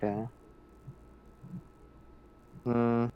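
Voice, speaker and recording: A man's voice: medium pitch, completely flat delivery, very slow, dull audio, slight background noise. A man chatting casually as a player in a tabletop roleplaying game, thinking out his moves aloud.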